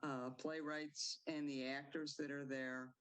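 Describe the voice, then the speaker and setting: A woman playwright giving measured interview answers, now and then restarting her sentences. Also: deep voice, level tone, clean tone.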